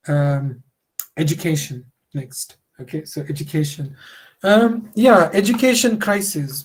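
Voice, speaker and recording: A man's voice: on the high side, a little mellow. A man, a Telugu speaker, giving a workshop lecture in a conversational way, full of "you know" fillers. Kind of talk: online lecture.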